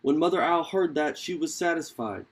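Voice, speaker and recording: A man tells an animal folktale aloud. His voice sits a little high, and he reads expressively in a storybook style for children. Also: expressive, normal speed.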